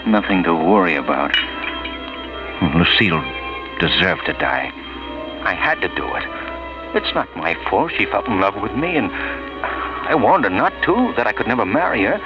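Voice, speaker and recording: A man's voice: on the low side, big pitch swings, normal speed, long pauses. An actor playing a haunted, self-pitying man who killed his lover, in brooding 1940s radio mystery style. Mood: brooding, self-justifying. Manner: brooding, agitated inner monologue. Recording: muffled vintage 1940s radio, music behind.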